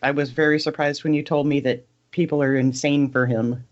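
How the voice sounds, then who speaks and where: A woman talks casually as a podcast co-host, giving her take on books. Her voice sits at a low pitch for a woman, and the audio is slightly muffled. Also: fairly even intonation, a little fast. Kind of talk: casual book discussion.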